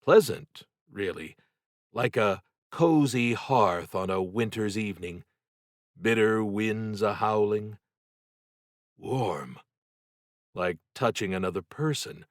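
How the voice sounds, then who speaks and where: A man narrating a novel in a dramatic, deliberate and suspenseful way, with expressive scripted delivery. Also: fairly low pitch, dry recording, clean studio sound. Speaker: audiobook narrator.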